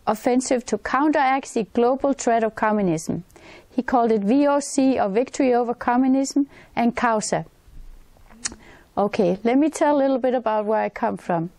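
A woman in her sixties from Denmark with a Danish accent, hosting her own channel and talking earnestly straight to camera in a plain, slightly halting way. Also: high voice, darkish tone, no room sound. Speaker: channel host. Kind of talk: direct-to-camera talk. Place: indoors, in front of a wintry forest backdrop. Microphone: camera framing head and shoulders.

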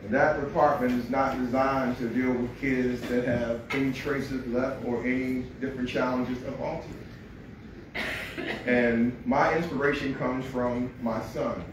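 A man speaks with earnest, proud conviction. The sound is distant and very echoey, like a big hall. Loud noise sits under his voice. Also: medium pitch, fairly even intonation, unhurried. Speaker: award honoree giving a speech. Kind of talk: acceptance speech. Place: large hall at an awards event. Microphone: handheld mic, filmed by a phone from the audience.

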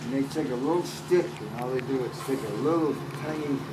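A man's voice: medium pitch, a little fast. An older man with an American accent chatting casually in a matter-of-fact way. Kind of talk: casual chat. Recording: off-mic, distant, with steady ambient noise.

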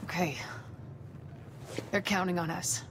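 A woman's voice: fairly low pitch, steady tone, slow pace, heavy background noise. A woman acting a wounded, resolute government field agent in a video game, urgent and gritty. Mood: frustrated, resolute. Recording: game cutscene with loud music.

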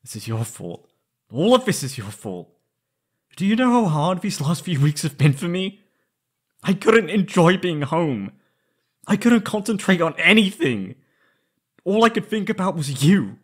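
A young man playing an anguished, lovesick former captive in an intimate roleplay audio, halting and pleading. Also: high voice, sing-song, husky, pitched above the performer's own voice, exaggerated voice. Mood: agitated, hurt. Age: young adult.

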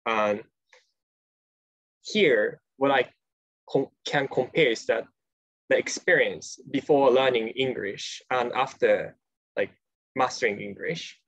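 Slow, gravelly man. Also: mid-range voice, fairly bright tone. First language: Japanese. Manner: slow, tentative, hedging. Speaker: podcast co-host. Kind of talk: academic discussion over a video call. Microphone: video call. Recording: lo-fi video call audio.

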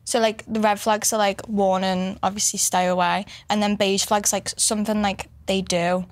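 A woman's voice: medium pitch, flat delivery, normal speed, no echo. A woman in her twenties hosting a casual podcast chat, talking freely and laughing often. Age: 20s. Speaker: podcast host.

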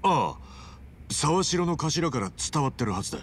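A man's voice: on the high side, sing-song, moderate pace, slight background noise. An actor playing a brash, good-hearted middle-aged hero in a video game, blunt and colloquial in his talk. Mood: earnest, pensive. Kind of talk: Japanese video game dub acting.

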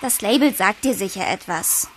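A woman's voice: mid-range voice, lively intonation, moderate pace, slight background noise, close to an everyday voice, poor audio. An actress playing a reserved, modest schoolgirl in a children's audio drama, hesitant and downplaying. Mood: reluctant. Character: reserved, modest new schoolgirl, reluctant to confide.

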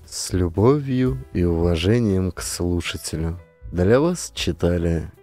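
A man reading scripted narration as an audiobook narrator, with a deep, gravelly voice. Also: animated, a little slow, dark tone, soft background music.

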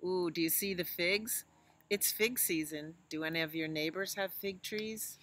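A woman's voice: on the low side, unhurried, a bit bright, slight background noise. A woman in her sixties reading a picture book aloud to children outdoors, adding little asides. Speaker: library storytime reader. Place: outdoors in a leafy yard.

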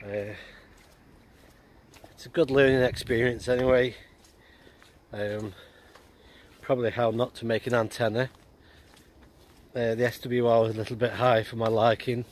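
A man in his forties talking casually and haltingly in an outdoor vlog. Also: medium pitch, level tone. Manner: halting, hesitant delivery. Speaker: amateur radio vlogger. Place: outdoors on an open hillside. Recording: noisy outdoor ambience.

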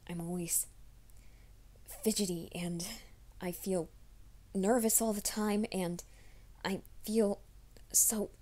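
A woman voicing a gentle, nervous young male werewolf in an ASMR roleplay, speaking softly and often in whispers. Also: mid-range voice, fairly even intonation, takes it slowly, long pauses. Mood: soft reassuring. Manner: soft, hushed, often whispering.

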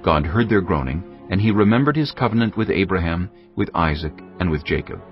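A deep-voiced man reads scripture in a measured, solemn delivery. His very low voice moves widely in pitch. Soft music plays under a slightly dull recording. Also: lively intonation. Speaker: narrator. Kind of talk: scripted Bible narration.

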